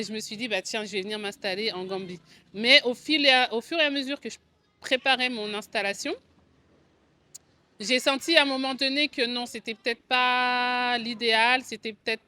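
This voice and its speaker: A woman in her forties hosts a personal vlog in a French accent from France. Her voice sits a little high and has a clear, forward brightness. She talks in a conversational way, explaining her own experience to viewers. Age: 40s. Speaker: video channel host, agency co-founder. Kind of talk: personal vlog storytelling to camera.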